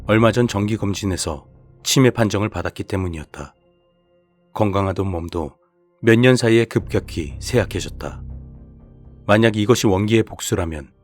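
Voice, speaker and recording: A man reading scripted horror narration as the storyteller of a radio-style show. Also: lowish voice.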